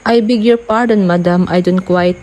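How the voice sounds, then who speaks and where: A woman's voice: on the low side, fairly even intonation, moderate pace, warm tone, close to an everyday voice. A young woman in an amateur radio play, playing a polite, formal telephone operator who keeps strictly to routine. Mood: polite, detached. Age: young adult. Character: polite, rote, unflappable female telephone operator. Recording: clear background noise and ambience.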